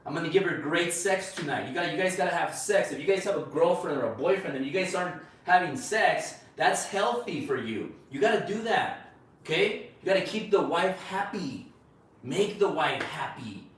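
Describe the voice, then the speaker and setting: A man's voice: fairly high pitch, lively intonation, moderate pace, fairly bright tone, far from the mic. Brash Mexican-accented man in an echoey room. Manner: blunt, profane, boastful. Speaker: host of a livestream. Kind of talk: casual livestream pitch. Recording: roomy echo, away from the mic.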